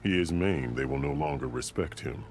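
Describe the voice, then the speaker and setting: Very deep gravelly warrior chief. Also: male voice, very animated, slight background noise, full character voice. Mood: stern. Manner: solemn, formal, measured, declarative. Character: stern, proud, commanding warrior chief of a tribe. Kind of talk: dramatic video game acting. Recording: loud music behind the voice.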